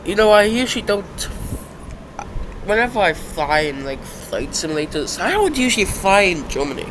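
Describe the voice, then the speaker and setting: A man's voice: high voice, big pitch swings. A young man with a stuffed-up, sick-sounding voice, rambling casually as he reacts to videos at his computer. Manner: rambling, halting, uneven loudness. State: stuffed up from a cold. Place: indoors at a computer. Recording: noisy home recording.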